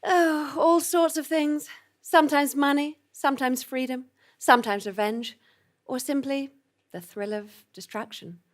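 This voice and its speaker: A woman's voice: high voice, fairly even intonation, a little slow. A woman playing a seductive, knowing femme fatale double agent in a theatrical audio comedy drama. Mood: coy, gloating.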